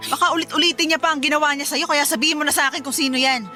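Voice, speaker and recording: Feisty, protective elder-sister role, high-pitched, confrontational, radio drama over music and crowd bed. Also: female voice, steady tone, racing, pushed and bright. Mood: indignant. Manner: scolding, confrontational. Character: feisty protective older sister, young girl, quick-tempered.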